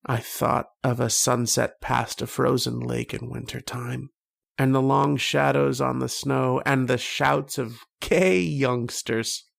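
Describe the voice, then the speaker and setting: An actor playing a tormented, homesick man trapped in a dream, brooding and halting in an old-time radio horror drama. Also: medium pitch, steady tone, gravelly, full character voice. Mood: wistful, homesick. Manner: brooding, halting, poetic delivery.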